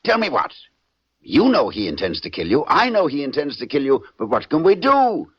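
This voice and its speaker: A man's voice: a little high, huge pitch swings, moderate pace, husky, no echo, exaggerated voice. An actor playing a jittery, unraveling man in an old radio suspense drama, with intense, clipped theatrical delivery. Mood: agitated, exasperated. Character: jittery, unraveling man confessing to a police lieutenant. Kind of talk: 1940s-50s radio suspense acting. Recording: dull old radio recording, faint music.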